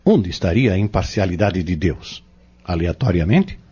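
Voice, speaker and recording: A low, animated Brazilian male mentor acted by a man, earnest and didactic. He lays out his lesson in measured phrases and pointed questions, as in an audio drama, on a dull recording. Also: husky, heavily acted. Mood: earnest, instructive. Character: earnest male Spiritist teacher explaining doctrine to youths.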